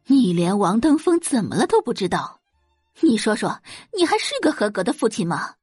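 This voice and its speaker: A woman acts out a middle-aged, well-off wife and mother in an audio drama. She is exasperated and nagging, with pitch that swings widely and a slightly husky edge, recorded very dry. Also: big pitch swings, moderate pace, a little mellow, no echo, soft background music. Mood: nagging complaint. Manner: scolding, complaining, turning coaxing for money.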